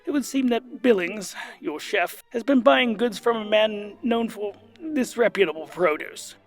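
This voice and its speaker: A man's voice: sits high, measured pace, soft background music, voice pushed up. A man playing a deferential, dryly formal, long-suffering butler in a comic fantasy audio drama. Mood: weary, tactful.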